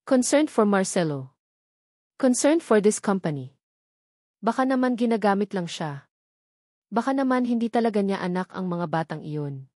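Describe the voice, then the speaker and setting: A sly, sophisticated Filipina villainess, voiced by a woman narrator speaks softly and sweetly in a storybook romance drama, with intrigue under the politeness. Her voice sits at a middle pitch and sounds dry. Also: lively intonation, moderate pace, long pauses, dry recording, lightly acted. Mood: sweetly insinuating. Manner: soft-spoken with a hint of intrigue.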